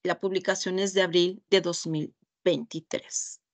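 A woman with a Mexican Spanish accent leading a webinar over a video call, walking through a website step by step in a deliberate, pausing way. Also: lowish voice, little pitch movement. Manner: slow, deliberate, pausing often. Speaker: webinar trainer. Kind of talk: screen-share product demonstration.

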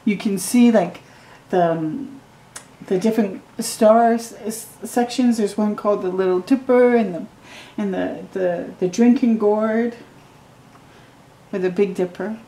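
Middle-aged woman storytime reader, slow and mellow, reading a picture book at home, roomy echo. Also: medium pitch. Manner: slow, gentle reading with excited asides to the viewer. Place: living room at home.

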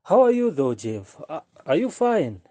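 A man's voice: on the high side, very animated, a little slow, mellow, no echo. A man playing an effusively concerned acquaintance in an audio drama, emphatic and given to repeating himself. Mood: eager concern. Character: effusively concerned male acquaintance receiving a man at his office.

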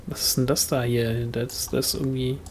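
A man's voice: mid-range voice, little pitch movement, moderate pace, a little hiss or hum. A man casually chatting while playing a co-op online game, dryly joking and grumbling at the game. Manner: dry, tells jokes, grumbles at the game. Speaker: let's play gamer. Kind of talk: casual co-op gaming chat. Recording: game music behind the voice.

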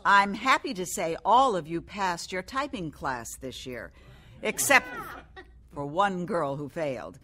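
A woman's voice: fairly low pitch, lively intonation, a little slow, pushed and bright. An actress playing a stern female schoolteacher in a radio drama, commanding and projected as if addressing a class. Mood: stern, announcing.

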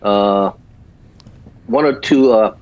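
A man in his fifties from South Korea with a small Korean accent, speaking in halting sentences full of filler pauses as a guest doctor in a remote interview. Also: fairly low pitch, no room sound. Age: 50s. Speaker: guest physician. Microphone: video call. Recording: dull lo-fi video call audio.

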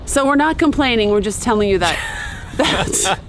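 A woman's voice: mid-range voice, expressive, normal speed, background noise. A middle-aged woman vlogging on a sailboat, speaking upbeat and directly to her viewers about the day's sail. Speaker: vlogger, day skipper. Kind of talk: casual vlog chat. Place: on a sailboat in a marina. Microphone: camera at arm's length.

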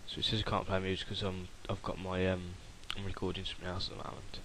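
A young man casually talking through a software tutorial while recording his screen, rambling with fillers and restarts. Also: sits low, monotone, fast, a little hiss or hum.

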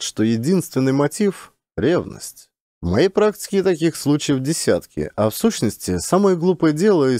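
A man's voice: a little high, mellow, dry recording, studio quality, pitched above the performer's own voice. A man voicing a jaded, self-assured court investigator in an audiobook story, matter-of-fact and dismissive.

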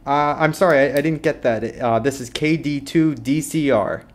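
A man's voice: mid-range voice, normal speed, noisy background. A man in his thirties with an American accent, talking casually as an amateur radio hobbyist in a small room. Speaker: vlogger, new amateur radio operator. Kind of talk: casual talk to camera. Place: small indoor room at home.

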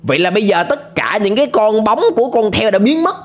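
A young man with a southern Vietnamese accent giving excited, playful, exclamatory running commentary as a reaction video host. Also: sits high, fast. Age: young adult. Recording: music behind the voice.

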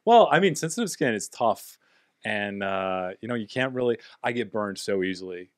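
A playful young man hosts a casual comedy podcast with a slightly low voice. He jokes and laughs easily, recorded close on a studio mic in a dry, dead room. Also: lively intonation, moderate pace, dry recording. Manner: playful, jokey, bursts of loud laughter. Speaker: podcast host. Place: small home podcast studio, indoors. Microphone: studio mic on boom arm.

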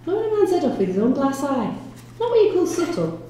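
A woman voicing a stern, gravelly male police chief superintendent in an audio story. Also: sits high, very animated, fast talker, mellow, pitched above the performer's own voice. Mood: stern, brusque. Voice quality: gravelly, strained. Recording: echoey room, faint noise.

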